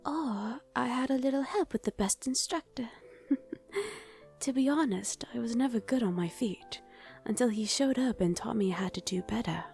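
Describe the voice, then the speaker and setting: A woman's voice: a little high, normal speed, creaky, darkish tone, soft background music. A woman playing a proper, anxious young princess in a romantic ASMR roleplay, speaking in a genteel, hesitant way. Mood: polite, nervous.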